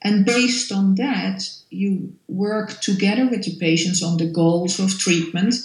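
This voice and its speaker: Interview guest, female, Dutch accent, native Dutch speaker, gravelly creak, explanatory delivery with pauses, roomy echo. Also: medium pitch, darkish tone. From Netherlands. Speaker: physiotherapy lecturer being interviewed. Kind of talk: interview conversation, explaining professional work. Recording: echoey room sound.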